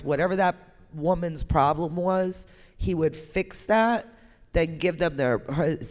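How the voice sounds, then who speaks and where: A woman artist giving a candid, conversational talk, speaking in an informal, emphatic and expressive way. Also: low voice. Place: indoor gallery talk, reverberant room. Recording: echoey hall with long reverb.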